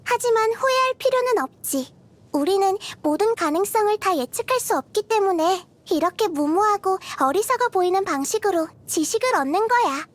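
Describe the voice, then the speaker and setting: A woman voicing a gentle, curious little girl deity of wisdom in a game, soft-spoken and thoughtful, as if kindly explaining. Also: very high-pitched, expressive, normal speed. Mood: wise, musing. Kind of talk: scripted game character voice lines. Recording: music behind the voice. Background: background music.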